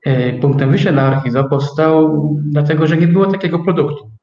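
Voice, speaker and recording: A man explaining things as an interview guest, measured and searching for his words. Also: on the high side. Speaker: interview guest, company co-owner. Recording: echoey, dull, distant from microphone.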